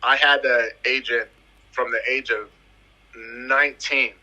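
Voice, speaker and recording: A man's voice: medium pitch, steady tone, slow pace, sharp tone, low-quality recording. A man in his thirties from the US Virgin Islands, a footballer telling his career story in a casual interview, emphatic and fond of repeating phrases. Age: 30s. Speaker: footballer guest.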